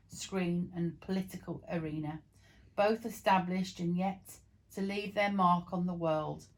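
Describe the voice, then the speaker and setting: Gravelly, low-pitched woman reading aloud. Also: poor audio. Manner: storytelling, occasional stumbles over words. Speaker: vlog co-presenter reading aloud. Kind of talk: amateur reading aloud from printed text.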